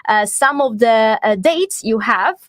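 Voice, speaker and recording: A fairly high-voiced woman with an Italian accent hosts a radio interview, talking with eager enthusiasm and frequent "uh"s and restarts. Her voice is close and completely dry, with no room sound at all. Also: animated, a little slow, fairly bright tone, no room sound. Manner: enthusiastic, full of fillers and restarts. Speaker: radio host. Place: radio studio.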